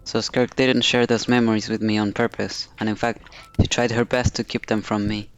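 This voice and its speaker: A man's voice: lowish voice, flat delivery, moderate pace, smooth, rough recording. A man streaming a video game, reading the dialogue aloud and commenting on the story as he goes.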